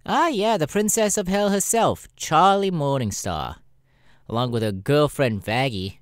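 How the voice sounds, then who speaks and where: A man voicing a sassy, cynical male demon adult performer in a casual, drawling audio roleplay, often pausing mid-thought. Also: fairly high pitch, clear, resonant tone, no echo, clean studio sound, lightly acted. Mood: chatty. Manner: hesitant, drawling, animated, irreverent.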